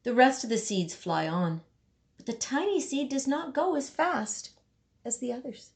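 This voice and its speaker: A woman reading a children's picture book aloud, with dramatic emphasis and deliberate pauses for young listeners. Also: high-pitched, animated, creaky. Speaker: storybook reader.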